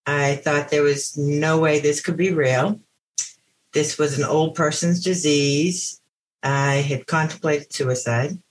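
Middle-aged woman, deep low register, candid interview guest. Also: slight background noise, rough recording. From United States. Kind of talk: personal account in an interview.

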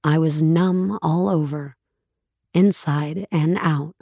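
An actress playing a numb, grieving woman narrating the end of her world in a somber, measured, husky voice for an audio drama. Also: sits low, fairly even intonation, dark tone, no echo, studio quality, exaggerated voice. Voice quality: husky, gravelly. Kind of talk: dramatic first-person audio fiction narration.

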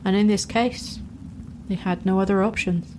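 Female storyteller, medium pitch, scripted fantasy narration, faint background noise. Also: fairly even intonation, normal speed, darkish tone.

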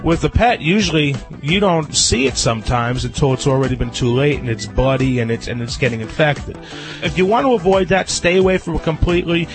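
A man with a stuffy, nasal voice from a cold, giving pet grooming advice in chatty, animated radio talk. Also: a little high, a little fast, over music. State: stuffy nose. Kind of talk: radio chat.

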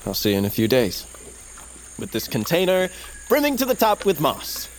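A man's voice: a little high, wildly swooping pitch, moderate pace, a little hiss or hum. An actor playing an earnest, theatrical young tribesman on a quest in an audio drama. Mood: dutiful, nervous.

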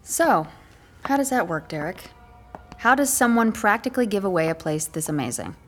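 A woman's voice: medium pitch, sing-song, normal speed, faint music behind. An actress playing a sarcastic, exasperated young woman trapped in an apartment, in a naturalistic audio horror drama. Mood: wary sarcasm. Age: young adult. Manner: sarcastic, bossy, naturalistic dialogue.

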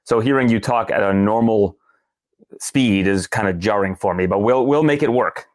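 A man from Canada with a Canadian accent, a guest on a podcast, speaking in a measured, analytical way. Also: on the low side, dry recording, studio quality. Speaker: podcast guest, sports journalist. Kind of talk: casual podcast chat.

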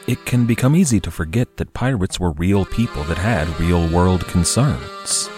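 Really low voiced guy plugging his podcast, music behind. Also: lively intonation, normal speed. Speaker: podcast host. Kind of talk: scripted podcast promo.

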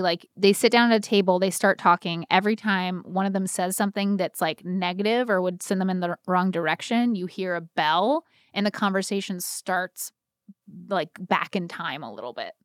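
Animated American woman, dry close sound. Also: mid-range voice, moderate pace, no echo. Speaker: podcast co-host. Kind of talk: casual chat, personal storytelling.

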